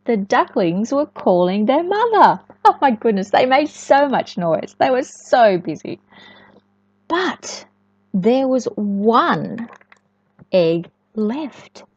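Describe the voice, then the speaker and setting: A woman reading a storybook to young children in an expressive, sing-song way, often chatting and asking the listener questions. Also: a little high, takes it slowly. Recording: echoey room, dull lo-fi sound.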